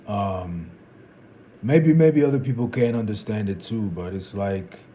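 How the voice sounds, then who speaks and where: A man in his twenties thinking out loud in a casual, rambling vlog, restarting sentences and dropping "like" and "bro". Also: on the low side, unhurried, slight background noise. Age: 20s. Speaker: vlogger. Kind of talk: casual reflective monologue.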